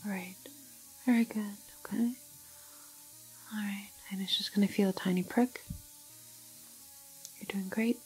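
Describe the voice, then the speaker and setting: A woman acts out a calm female doctor in an ASMR roleplay, very softly spoken and often slipping into a whisper. She goes slowly and haltingly, with reassuring clinical care. Faint music sits underneath. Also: medium pitch, husky, rough recording. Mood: soothing. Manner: hesitant, reassuring, slow and halting.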